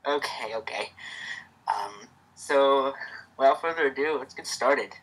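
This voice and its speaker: Casual man with a stutter and a mid-pitched voice, chatting, then reading a story aloud. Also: measured pace, fairly bright tone, husky, rough recording. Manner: stutters, with restarts.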